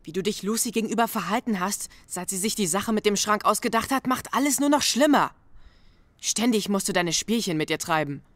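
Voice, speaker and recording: A woman's voice: medium pitch, a little fast, dry recording, lightly acted. An actress playing a sensible, reproachful older sister, a girl, in a German audio drama. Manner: reproachful, questioning.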